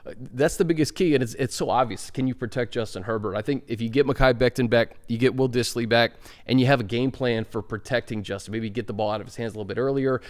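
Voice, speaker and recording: A man's voice: mid-range voice, fast talker, few pauses. An American man co-hosting a sports show, talking analytically in a casual, conversational style.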